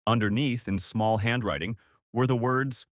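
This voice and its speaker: A man's voice: medium pitch, normal speed, no room sound, studio quality. A man narrating a scripted story as a storyteller.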